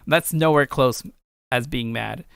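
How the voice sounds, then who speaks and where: A man's voice: mid-range voice, slow, vocal fry, fairly bright tone, clear, resonant tone, no room sound, clean studio sound. A man giving casual commentary on his own game as its creator, informal and rambling, often correcting himself. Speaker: game creator and video host.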